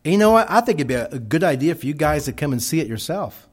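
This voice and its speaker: A middle-aged man with a Texas accent, a missionary telling a church congregation about his work and answering questions in a folksy, halting way with many restarts. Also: on the high side, very animated, husky.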